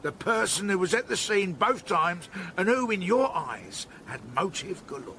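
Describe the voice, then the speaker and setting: An actor playing a gruff, sardonic older chief inspector with a British accent in a radio drama, talking in a blunt, patronising way. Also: male voice, sits high, big pitch swings, moderate pace, sharp tone, a little hiss or hum, heavily acted. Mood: accusing.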